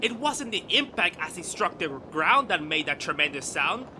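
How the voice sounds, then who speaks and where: A man acting an excitable, lecturing amateur astronomer in a theatrical, grandiose 1930s radio drama style. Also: fairly high pitch, normal speed, noisy background. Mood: breathless excitement.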